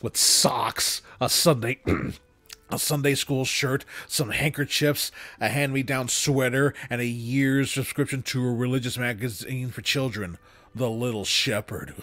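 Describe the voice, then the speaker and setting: A young man reading a literary story aloud in an expressive storytelling style, close to a desk microphone. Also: medium pitch, measured pace, no echo. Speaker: reader. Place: small room at home, indoors.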